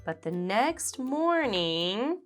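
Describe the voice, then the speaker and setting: A woman reading a children's picture book aloud, slowly and expressively. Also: fairly high pitch, soft background music. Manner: slow, expressive, animated character voices. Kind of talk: read-aloud storybook narration.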